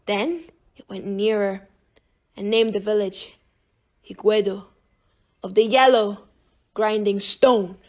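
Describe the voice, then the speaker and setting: A woman narrating an audiobook in an expressive, dramatic storytelling style. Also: mid-range voice.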